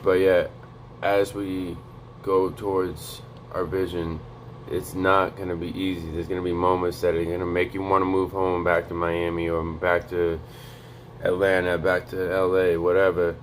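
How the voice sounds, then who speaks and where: Low quiet monotone young man. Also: normal speed, slight background noise. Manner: low, quiet monotone to avoid waking a neighbor; casual, profane, streetwise. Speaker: vlogger. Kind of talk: motivational vlog talk. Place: home in North Dakota.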